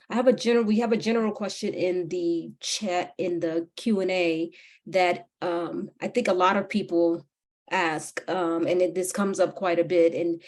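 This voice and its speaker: A woman's voice: lowish voice, darkish tone. A woman moderating a webinar Q and A, hesitant with many ums, reading questions aloud over a video call.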